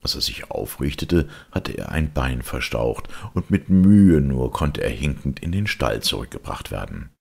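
A man narrating a children's storybook as an expressive audiobook reader. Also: very deep voice, measured pace, creaky, hardly any pauses, slightly rough.

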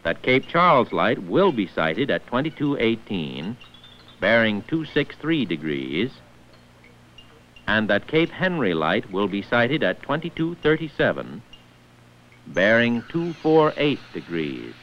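A man's voice: fairly low pitch, very animated, slow pace, slight background noise. A man narrating a scripted 1940s instructional film in a formal, precise manner, on an old muffled film soundtrack. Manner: formal, precise enunciation of figures.

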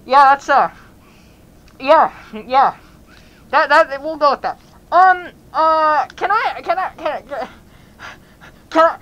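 A woman's voice: high-pitched, a bit bright, voice pushed up, heavily acted, rough recording. A woman voicing a panicky, flustered young man who stammers and repeats words in an amateur comedy role-play. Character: panicky, stammering, flustered boyfriend hiding behind a mask.

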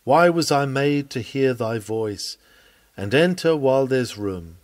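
A man reading a scripted sermon aloud in an earnest, measured, expressive preaching manner. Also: mid-range voice, no echo. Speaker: reader of a historical sermon.

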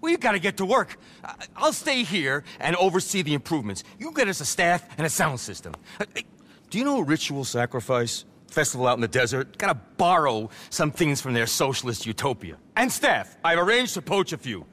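A vain middle-aged nightclub impresario for a video game. He is flamboyant and frazzled, swinging widely in pitch with a sharp, forceful edge, and swears with theatrical relish. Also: sing-song, fairly quick, full character voice. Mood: brisk, upbeat. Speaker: nightclub owner. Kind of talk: video game cutscene acting.

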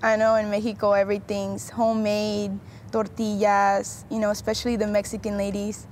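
Teen girl, flat intonation, casual chatty talk, faint ambience. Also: mid-range voice, normal speed, slight background noise. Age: teens. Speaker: student interviewee.

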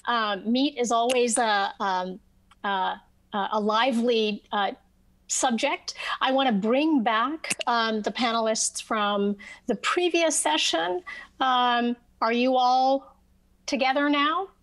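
A woman moderating a virtual panel over a video call, speaking haltingly with many ums and restarts. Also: a little high, fairly bright tone. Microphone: webcam on video call.

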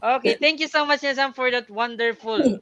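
A man's voice: extremely high pitch, fairly quick, sharp tone, clean tone, muffled recording. A man hosting a live online orientation, politely asking questions and thanking his guests over a headset. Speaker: host of the orientation.

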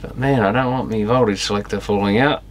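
A man with a fairly low voice talks himself through an electronics repair. His delivery is casual and unscripted, with fragmented thoughts that often trail off. Clear background noise runs behind him. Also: steady tone, quick pace. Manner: muttering, thinking aloud in fragments, trailing off. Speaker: electronics repairer and video host. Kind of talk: casual unscripted workbench commentary while tinkering.

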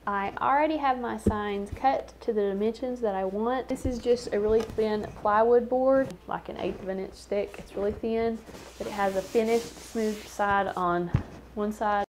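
A woman's voice: mid-range voice, normal speed, a little mellow, a little hiss or hum. A woman in her thirties giving casual how-to narration as the host of a craft tutorial.

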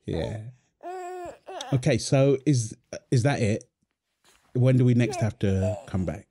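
A man's voice: mid-range voice, slow, crowd noise, lightly acted. A man playing a tender, playful young husband and doting father in an ASMR roleplay, soothing and teasing as he talks. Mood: pleased, chatty. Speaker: voice actor of a roleplay audio. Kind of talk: ASMR boyfriend roleplay, acted one-sided dialogue.

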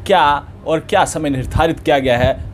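A man's voice: on the high side, moderate pace. A man, speaking formally and wordily as a local news interviewer. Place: small indoor office, seated on a sofa. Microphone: handheld reporter mic. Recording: light background room noise.

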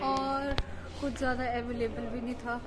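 A woman's voice: a little high, flat delivery, moderate pace, over music. A young woman chatting casually with a friend while vlogging on her phone in a mall food court and on a night street, teasing and talking to viewers. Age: young adult. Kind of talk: casual vlog chat with a friend. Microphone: phone held at arm's length. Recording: loud background noise.

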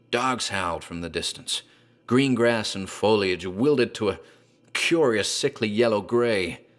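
A man narrating a horror story in a dark, deliberate, theatrical way. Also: low voice.

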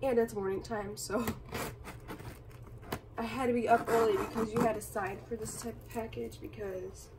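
A young woman, hoarse and raspy from being sick, chatting casually and apologetically while unboxing on a bedroom floor. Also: fairly high pitch, fairly even intonation, unhurried, recorded from a distance, background noise. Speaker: vlogger. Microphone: phone camera filming from above.

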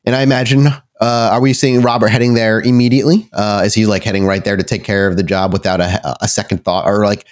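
A man's voice: lowish voice, little pitch movement, quick pace, few pauses. A man narrating a tabletop roleplaying game as the game master. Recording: music behind the voice.

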